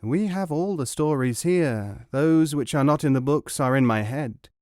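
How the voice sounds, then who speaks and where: A man's voice: fairly high pitch, lively intonation, measured pace, a little mellow, dry recording, pitched above the performer's own voice. A courtly old Persian bookseller, gracious and formal, his voice lifted a little and dry, as a man reading the story puts him on. He talks with polite ceremony, in a close, dead sound.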